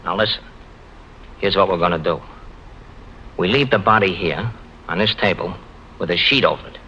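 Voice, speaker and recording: An actor playing a brisk, crafty, commanding male detective in clipped 1950s radio drama style. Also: deep voice, sharp tone. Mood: sly instruction. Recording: dull, noisy old radio recording.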